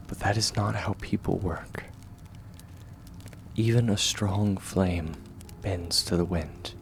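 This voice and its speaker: A man playing a gentle, steadfast young swordsman mentor in a comfort ASMR roleplay, speaking softly and reassuringly, at times close to a whisper. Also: deep voice, vocal fry, a little hiss or hum, exaggerated voice. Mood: reflective, tender. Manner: soft, reassuring, measured, often near whisper.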